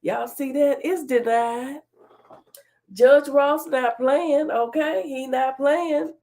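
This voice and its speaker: A woman's voice: high-pitched, slow, mellow. A middle-aged woman with a stuffy cold, hosting her own talk show and reading court papers aloud, breaking off for sassy, chatty asides. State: stuffy, under the weather. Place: home studio with virtual background.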